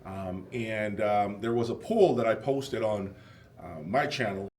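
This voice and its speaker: A man's voice: on the low side, measured pace, a little mellow. A man hosting a casual video interview, talking to his viewers in a conversational, opinionated way. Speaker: host interviewer of an audio channel.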